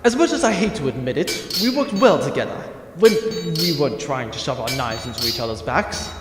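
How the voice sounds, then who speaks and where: A man's voice: a little high, very animated, fairly quick, noisy background. Cocky sci-fi comedy hero male actor, theatrical banter, swooping intonation, reverberant with music. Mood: flippant, persuading. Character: cocky swashbuckling male space captain, boastful and flippant. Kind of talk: comedic sci-fi audio drama. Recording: echoey reverberant room, music underneath.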